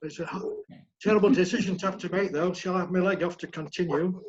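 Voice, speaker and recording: A man with a croaky voice reading out sports news items and joking with friends on a video call. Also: high-pitched, steady tone, fast. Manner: jokey, reads news aloud. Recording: muffled video call audio.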